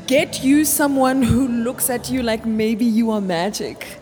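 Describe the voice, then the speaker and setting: A woman interviewing a young guest in casual chat, full of affirming compliments and quick follow-up questions. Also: on the high side, darkish tone. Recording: music playing behind.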